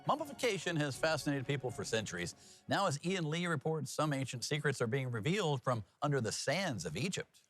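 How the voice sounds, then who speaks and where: A man's voice: fairly high pitch, very animated, a little fast, a bit bright, faint music behind. An American man anchoring TV news, with folksy, chatty asides between the scripted stories. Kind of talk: broadcast news with casual co-anchor banter.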